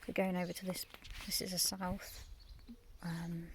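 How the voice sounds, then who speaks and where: Croaky uk woman whispering a garden tour, lowish voice, loud birdsong behind. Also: level tone, measured pace. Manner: hushed, half-whispered talk. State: croaky, just woken up. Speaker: gardening vlogger. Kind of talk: quiet casual garden tour. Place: back garden outdoors, early morning. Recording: noisy outdoor recording with birdsong.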